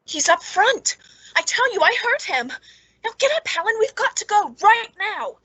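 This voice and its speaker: Girl acting bitter clever woman in an old radio thriller, really high voice, brooding and kinda menacing. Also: very animated, moderate pace, bright, forceful tone, heavily acted, rough recording. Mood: urgent, hushed alarm.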